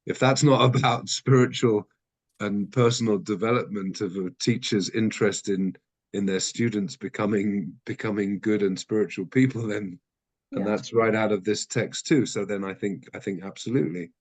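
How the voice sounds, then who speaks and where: A man with a fairly low voice leads an adult study class. He talks in a halting way, full of restarts and self-corrections, as he works through an idea out loud. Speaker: class teacher. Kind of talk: teaching a text study class.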